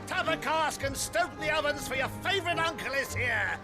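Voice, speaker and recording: An actor playing a jovial, theatrical older uncle in a video game, exuberant and grandiose, with music behind him. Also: male voice, very high voice, gravelly, exaggerated voice.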